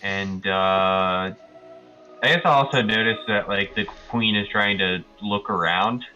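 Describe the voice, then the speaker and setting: Deep-voiced, hesitant male roleplayer. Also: a little slow, bright, forceful tone, dull audio. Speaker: tabletop roleplay player. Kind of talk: casual improvised game narration. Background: music.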